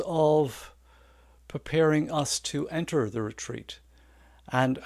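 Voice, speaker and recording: Older male Zen teacher; unhurried, thoughtful delivery, dry close sound. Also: medium pitch, no echo. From Ireland. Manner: halting, contemplative, with long thoughtful pauses. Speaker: Zen senior assistant teacher. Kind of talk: reflective Dharma talk to a meditation group.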